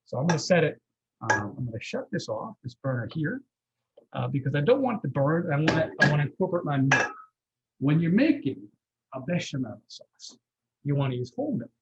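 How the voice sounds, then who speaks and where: A man's voice: fairly high pitch, big pitch swings, a little fast, muffled recording, very noisy. A man giving a live cooking demonstration as guest chef, explaining step by step in a folksy, reassuring, conversational way.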